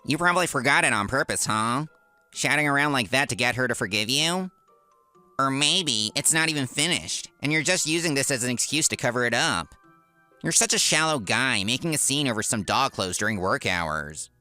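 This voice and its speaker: A man's voice: mid-range voice, lively intonation, normal speed, bright, forceful tone, soft background music. A man voicing a cocky, spiteful office worker in a comic dub, with a sneering, taunting manner. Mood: mocking. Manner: sneering, taunting, stammers when cornered.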